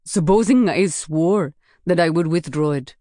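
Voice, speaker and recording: A woman's voice: on the low side, a little slow, dark tone, no echo, clean studio sound, lightly acted. A woman voicing a defiant male police officer, indignant and pleading with his captors.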